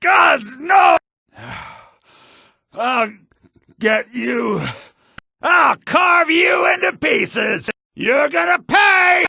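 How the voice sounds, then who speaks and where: An actor playing a hot-tempered fantasy villager in video game combat barks, his voice rough and strained. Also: very high-pitched, lively intonation, extremely slow, pauses often, bright, forceful tone, no echo, raised voice, studio quality, exaggerated voice. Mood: furious.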